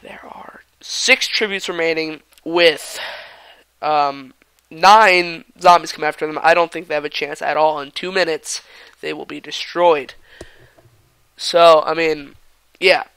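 A young man with a voice gone raspy from a cold, rambling casually while commenting on his own gameplay, sometimes muttering or whispering asides. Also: on the high side, steady tone, measured pace, bright, forceful tone, no room sound. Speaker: gaming channel host.

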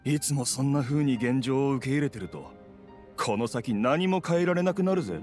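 A man's voice: medium pitch, lively intonation, normal speed, darkish tone, close to an everyday voice. A man plays a rich merchant's haughty young son in an anime game. He is blunt, impatient and complaining in a mid-range male voice, with faint music behind. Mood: irritated. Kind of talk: anime video game dialogue.

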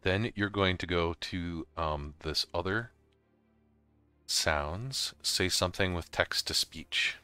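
Deep, bright-voiced man giving a tutorial. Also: takes it slowly, clear, resonant tone, no room sound, soft background music. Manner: informal, step-by-step explaining. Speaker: host of a software tutorial. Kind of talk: casual screen-recorded walkthrough.

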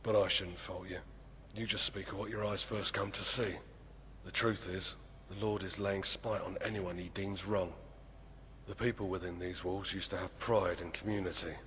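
An actor playing a weary townsman in a fantasy game, speaking in a measured, foreboding way. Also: sits low, fairly even intonation, slight background noise. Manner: dark, measured, foreboding delivery. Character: grim weary townsman warning of his failing city. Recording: game audio with long reverb and faint ambience.

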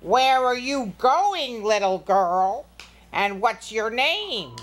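A woman voicing a male storybook wolf, sly and a little sheepish, with slow, drawn-out speech. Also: on the high side, big pitch swings. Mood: sly, menacing.

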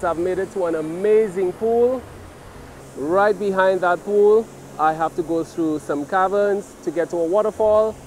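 High-voiced man vlogging a hike. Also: measured pace, slight background noise. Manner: relaxed, marveling commentary. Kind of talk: hiking vlog narration. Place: outdoors by a river in nature. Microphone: handheld camera.

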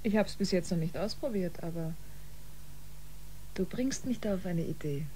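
An actress playing a wary, defiant musician aboard a spaceship in a sci-fi radio drama, expressive and pointed. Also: female voice, on the low side, moderate pace, a little hiss or hum, close to an everyday voice, low-quality recording.

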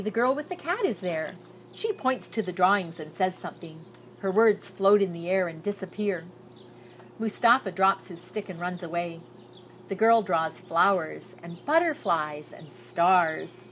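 Older lady reading a kids story outside, really lively up and down voice, bit of background noise. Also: medium pitch. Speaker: storybook reader. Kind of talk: expressive read-aloud of a children's picture book. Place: outdoors on a garden patio. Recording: outdoor recording with background noise.

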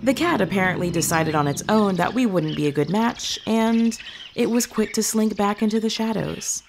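A woman playing an earnest young witch who tells her story in a measured way, as in an audio drama. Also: mid-range voice, gravelly, a little hiss or hum, lightly acted. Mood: wondering, wistful. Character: earnest young witch fondly recounting meeting her familiar. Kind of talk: audio fiction monologue, storytelling.

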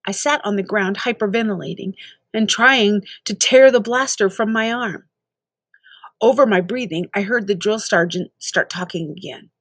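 A woman's voice: mid-range voice, moderate pace, a bit bright, dry recording. An older woman reading a children's story aloud as narrator. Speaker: story reader.

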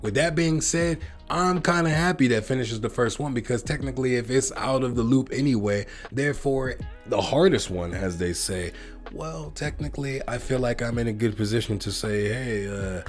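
A man's voice: mid-range voice, moderate pace, soft background music. An American man in a casual vlog, rambling a bit and talking straight to his viewers, often calling them guys. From United States. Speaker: vlogger. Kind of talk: casual reaction vlog to camera.

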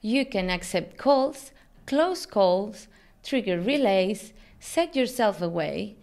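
A woman's voice: mid-range voice, animated, takes it slowly, darkish tone. A woman in her thirties presenting a scripted product tutorial in an upbeat, promotional way. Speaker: company presenter, digital marketing manager.

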